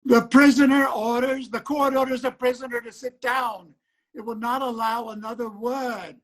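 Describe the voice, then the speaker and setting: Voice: mid-range voice, level tone, normal speed, close to an everyday voice, poor audio. Casting: an actor as an impatient old-time trial judge, formal, commanding, reader's theater. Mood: exasperated, commanding. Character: stern, impatient 19th-century judge presiding at a trial. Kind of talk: reader's theater courtroom drama.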